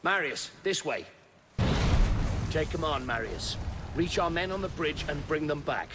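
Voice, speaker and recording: Steady roman commander, calm and in charge, long echo and noisy surroundings. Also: male voice, a little high. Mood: firm. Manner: measured, authoritative. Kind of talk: video game cutscene acting. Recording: noisy reverberant game ambience.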